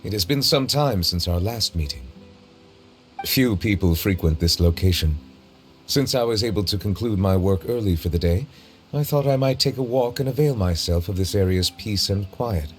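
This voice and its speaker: A deep-voiced, long-lived chief judge from a video game, played by a man, formal, earnest and reserved. He speaks with measured precision in a reverberant space, with music behind him. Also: animated, close to an everyday voice. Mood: composed, formal.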